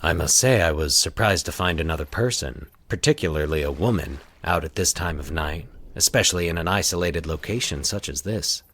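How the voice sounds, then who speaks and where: Male narrator as a solitary predator, very low voice, solemn, measured, calculating, horror audio story. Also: a bit bright. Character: solitary sinister man, secretly predatory, outwardly solemn.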